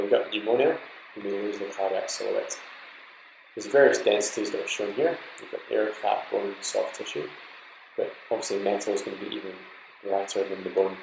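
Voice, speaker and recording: Low, gravelly man giving a lecture. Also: a little mellow, reverberant, slight background noise, poor audio. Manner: informal, explanatory, instructive. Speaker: medical lecturer. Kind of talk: slide lecture to trainee doctors.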